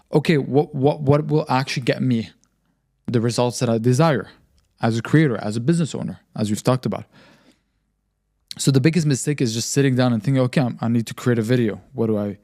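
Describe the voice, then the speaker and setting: A man's voice: mid-range voice, mellow. Confident man explaining marketing advice. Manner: confident, explanatory, frequent emphatic repetition. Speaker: podcast host and marketing agency founder. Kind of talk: podcast advice talk.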